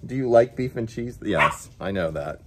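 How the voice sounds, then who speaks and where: A man's voice: on the low side, lively intonation, moderate pace, background noise. A man reading a children's picture book aloud as story time host, with playful, chatty asides to his pet dog.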